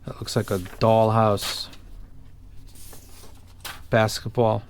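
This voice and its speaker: A man's voice: fairly low pitch, level tone, slow pace, slight background noise. Blunt man giving casual gear reviews. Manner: blunt, opinionated, colloquial. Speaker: YouTube audio gear reviewer. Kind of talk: casual unscripted review talk.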